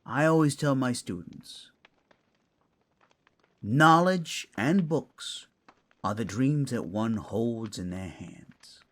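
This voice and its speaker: A man's voice: mid-range voice, lively intonation, slow pace, long pauses, fairly bright tone. A man playing a wry, scholarly raccoon librarian in a fantasy audio drama, musing in a wordy, teacherly way. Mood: musing, fond.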